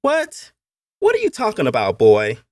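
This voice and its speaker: A man's voice: medium pitch, wildly swooping pitch, normal speed, dry recording, studio quality, voice pushed up. A man voicing a bossy young boy, a plantation owner's son, in an audiobook story. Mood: puzzled. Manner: bossy, childish.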